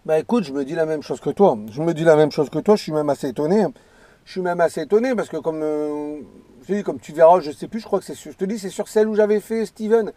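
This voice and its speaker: Bright-voiced man on CB radio. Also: a little high, fast. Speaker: amateur radio operator. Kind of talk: casual radio chat. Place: in or beside a parked car outdoors.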